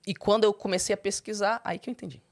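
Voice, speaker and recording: A young adult woman, a guest in an interview, candidly telling her personal story and breaking into high, animated quotes. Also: quick pace. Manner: expressive, swings into high animated quoting.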